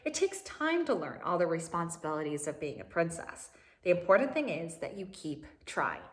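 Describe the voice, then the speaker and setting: A woman voicing a kindly, proper royal governess in a children's storybook, measured and reassuring. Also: lowish voice, big pitch swings. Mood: comforting.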